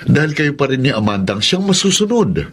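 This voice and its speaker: An actor playing a frank, encouraging confidant with a husky voice in a Filipino radio drama. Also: male voice, mid-range voice, very animated, fairly quick, a little hiss or hum. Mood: insistent. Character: older man's frank, encouraging male confidant.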